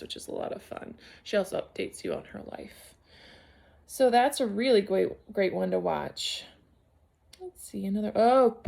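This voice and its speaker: Woman, home vlogger, gravelly fry, mid pitch, rambling and unfocused. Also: a little mellow. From United States. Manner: rambling, searching for words, many fillers and restarts. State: concussed, a little unfocused. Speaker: knitting vlogger. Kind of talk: casual solo vlog chat.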